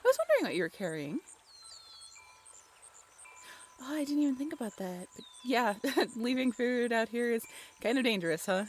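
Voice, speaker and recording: A woman's voice: fairly high pitch, big pitch swings, fast talker, slight background noise. A young woman playing an affectionate, teasing girlfriend in a conversational romantic roleplay audio, bantering in a playful drawl. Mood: delighted surprise. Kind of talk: romantic comfort roleplay audio, conversational one-sided dialogue.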